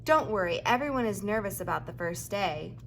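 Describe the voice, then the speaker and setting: Storybook principal, played by a reader: gushing, brisk, reassuring woman, emphatic delivery. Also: mid-range voice, lively intonation, creaky, slight background noise.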